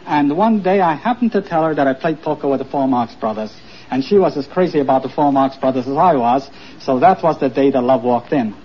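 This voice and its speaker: A man's voice: medium pitch, a little fast. A man in his forties from Austria who chats as a quiz contestant in a dry, opinionated way. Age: 40s. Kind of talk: casual chat on a quiz show. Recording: dull old broadcast recording.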